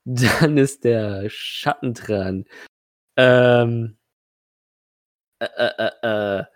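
A man running a tabletop role-playing game, improvising narration and casual rulings in a halting, hesitant way. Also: mid-range voice, dry recording, clean studio sound. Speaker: game master.

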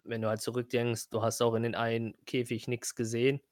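Man narrating in a flat, even tone. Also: mid-range voice, brisk, no room sound, studio quality. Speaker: game master. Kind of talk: improvised tabletop game narration.

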